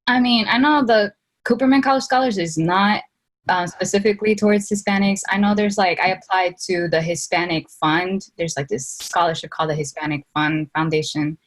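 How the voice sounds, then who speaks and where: A woman's voice: medium pitch, steady tone, moderate pace. A young woman from Spain, a college student on a panel, explaining things earnestly with frequent "like" fillers. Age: young adult. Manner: earnest, uses many "like" fillers. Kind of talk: casual explanation.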